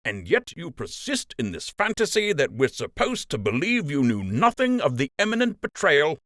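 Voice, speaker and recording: A fierce old rabbit captain from a storybook , acted out by a male reader, snarls his accusations at a pitch lifted well above plain narration. The recording is close and dry. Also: big pitch swings, moderate pace, fairly bright tone, no echo. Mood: scornful, furious.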